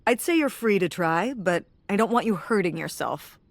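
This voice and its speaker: A middle-aged mother, cautious and protective, with a wry, dry sense of humor that slips into scolding when she gets worried. in a story-driven video game, at an ordinary mid-range pitch. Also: expressive, moderate pace, creaky, no echo.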